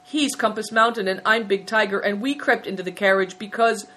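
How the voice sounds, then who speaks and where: Woman voicing an earnest, polite boy, pitched up. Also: brisk, sharp tone. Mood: earnest pleading. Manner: earnest, respectful pleading.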